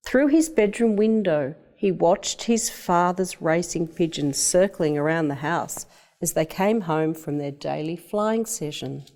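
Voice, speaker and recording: A woman's voice: on the low side, animated, a little slow, a little mellow. A woman with an Australian accent reading a scripted picture-book story aloud for young children. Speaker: storybook reader.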